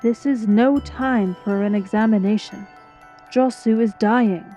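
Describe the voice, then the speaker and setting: A proud young sorceress apprentice in a fantasy drama, played by a woman. Her delivery is very slow, cool and determined, with a mellow, darkish tone and music behind the slightly muffled recording. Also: on the high side, natural voice. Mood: defiant. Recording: dull microphone, music behind.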